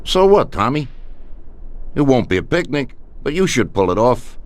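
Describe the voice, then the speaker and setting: An actor playing a measured, authoritative mob boss in a video game, ruthless but steady with his men. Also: medium pitch, close to an everyday voice. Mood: reassuring. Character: assured male mob boss, ruthless yet reassuring. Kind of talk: video game cutscene acting.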